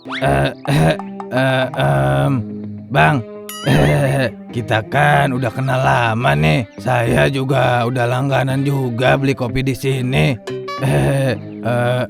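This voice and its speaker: Man acting a cartoon slacker, slow-talking, teasing, Jakarta slang accent, creaky voice, faint music behind. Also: mid-range voice, level tone. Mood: sheepish. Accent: Jakarta Indonesian. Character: joking, haggling slacker man in a comic cartoon.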